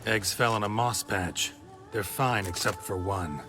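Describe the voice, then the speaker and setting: Weary monster hunter for a fantasy game, male actor: very low, terse, deadpan, over prominent music. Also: unhurried, close to an everyday voice. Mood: grave, matter-of-fact. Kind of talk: video game cutscene acting. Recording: background music under the voice.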